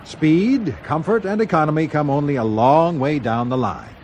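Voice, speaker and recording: A man's voice: on the high side, expressive, normal speed, darkish tone, slight background noise, close to an everyday voice. An actor playing a harried, pompous aircraft company spokesman in a radio drama. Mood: pompous, promotional.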